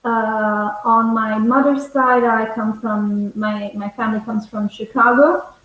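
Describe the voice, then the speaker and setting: A young woman, bilingual in English and Italian, teaches over a video call in a strongly echoing room. Her voice sits on the high side and she takes her time, explaining things in a measured, conversational way. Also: steady tone, a bit bright. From Italy. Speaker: English teacher, webinar host. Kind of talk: conversational online lesson. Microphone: earphone headset on video call.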